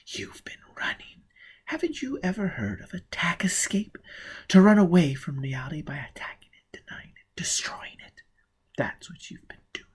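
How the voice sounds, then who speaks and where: Man voicing a young woman, frightened, defiant, breathy whisper, high thin voice, storybook figure. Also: sing-song, normal speed, full character voice. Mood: bitter whisper.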